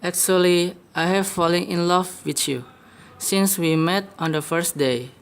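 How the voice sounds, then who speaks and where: A woman's voice: lowish voice, steady tone, a little slow. A woman playing an earnest young man, an older brother in love, in an amateur radio play with careful scripted delivery. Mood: earnest, nervous.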